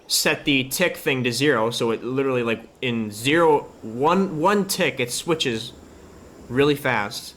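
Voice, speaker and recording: A man giving a casual, offhand software tutorial, thinking aloud as he works. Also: mid-range voice, a little slow, a little hiss or hum. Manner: informal, loose and offhand, occasional swearing. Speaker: host of a game modding tutorial. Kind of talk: casual screen-capture tutorial, thinking aloud while working.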